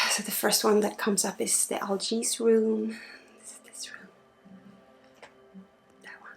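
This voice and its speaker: A woman from Sweden in soft-spoken ASMR as a spiritual energy healer, drifting between a murmur and a breathy whisper. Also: a little high, level tone, slow pace, soft background music. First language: Swedish.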